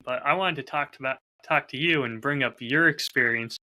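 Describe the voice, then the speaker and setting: A man's voice: mid-range voice, brisk, bright, forceful tone, low-quality recording. A man chatting casually and candidly as a podcast host, openly self-critical about his creative work. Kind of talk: casual chat.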